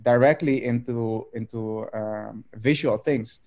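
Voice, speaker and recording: A Dutch man in his thirties speaks English over a video call from a room at home. His voice is mellow and dark, and he talks haltingly, filling gaps with ums and restarting phrases. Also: mid-range voice, steady tone. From Netherlands. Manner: hesitant, many fillers and restarts. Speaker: artist guest.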